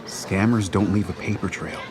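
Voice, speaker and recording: A man's voice: low voice, moderate pace, music in the background, lightly acted. An actor playing a worried, argumentative young man who bickers insistently in a naturalistic audio drama.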